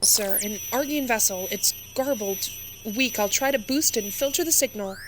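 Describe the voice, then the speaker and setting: A woman's voice: on the high side, moderate pace, heavy background noise, natural voice. A woman playing a dutiful starship communications officer in a sci-fi audio drama, reporting in a clipped, urgent way. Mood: urgent, focused.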